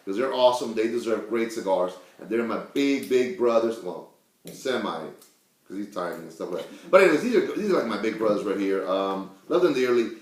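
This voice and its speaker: A man's voice: fairly low pitch, very animated, fairly quick. A talkative Cuban man hosting a casual cigar show, rambling irreverently and swearing mildly among friends. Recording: echoey room.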